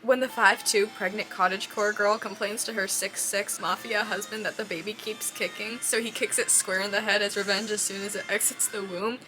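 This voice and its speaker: A young woman speaks in a medium-pitched voice over faint background noise. She talks like a book vlogger confiding in her viewers, informal and full of slang. Her delivery is emotive and given to dramatic exaggeration. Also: steady tone, fairly quick, few pauses. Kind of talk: casual reading vlog, confiding chat to camera.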